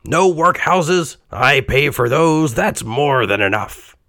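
A man's voice: mid-range voice, expressive, slow, sharp tone, no room sound, clean studio sound, pitched above the performer's own voice, full character voice. A man voicing a greedy, cold-hearted old miser who talks in a snappish, cranky way.

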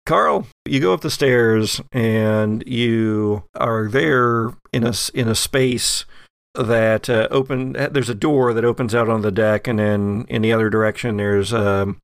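A man runs a horror tabletop game as game master, narrating slowly and descriptively. His voice has a gravelly creak, and it is recorded close and dry. He builds suspense deliberately. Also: lowish voice, no room sound.

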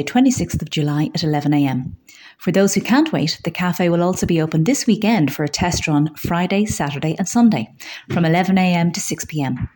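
A woman's voice: on the low side, a little mellow. A woman with an Irish accent reading scripted local news as a community radio newsreader.

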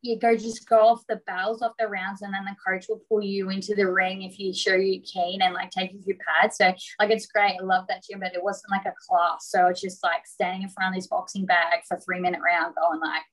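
Woman, Australian accent, interview guest, middle pitch, relaxed, rambling, many fillers. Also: brisk, few pauses, poor audio. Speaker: boxer, guest. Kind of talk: casual interview chat.

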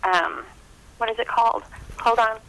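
A woman's voice: on the high side, normal speed, a bit bright, clear, resonant tone, low-quality recording. A woman talking casually as a guest author in an interview, chatty and enthusiastic. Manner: chatty, enthusiastic about books.

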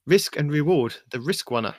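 A man's voice: fairly high pitch, lively intonation, moderate pace, dry recording, clean studio sound. A man co-hosting a casual gaming podcast, reading update notes aloud and joking between items.

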